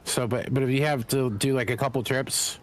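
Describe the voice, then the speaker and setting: A man's voice: mid-range voice, steady tone, a little fast, slight background noise. A man with an ordinary mid-range voice joins a streamer for casual banter. He teases a little and explains game tricks in a loose, conversational way. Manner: teasing, offers gameplay tips. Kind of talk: casual chat.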